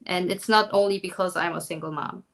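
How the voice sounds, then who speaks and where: German-accented woman, halting speech. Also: lowish voice, fairly even intonation, a bit bright. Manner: halting, many ums and restarts. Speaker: guest, documentary maker. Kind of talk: live online conversation.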